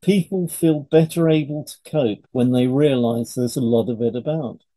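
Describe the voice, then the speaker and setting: A man co-hosting an educational podcast, reading scripted material in a measured, instructive way. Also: mid-range voice, warm tone. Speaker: podcast co-host, hypnotherapist. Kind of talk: scripted educational podcast read aloud in turns.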